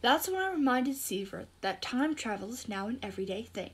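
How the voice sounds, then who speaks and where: Clever, bossy young girl, played by a female performer, high voice, confident explainer in an audio drama. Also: sing-song, a little fast. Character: eager, bossy, clever young girl recounting her discovery. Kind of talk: scripted storytelling, audio drama.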